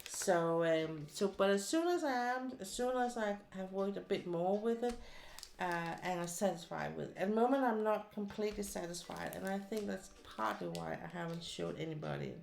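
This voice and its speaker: A woman's voice: mid-range voice, moderate pace, creaky, a little mellow, echoey room. A woman from Denmark with a Danish accent, chatting casually with her live stream viewers while she crafts, rambling with many fillers and restarts.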